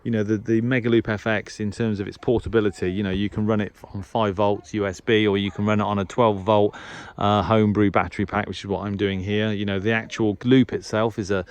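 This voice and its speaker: A man's voice: on the low side, flat delivery, brisk, clean tone. A man giving a casual, unscripted equipment review as a hobbyist presenter, hesitating and thinking aloud as he goes. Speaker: shortwave radio hobbyist presenter.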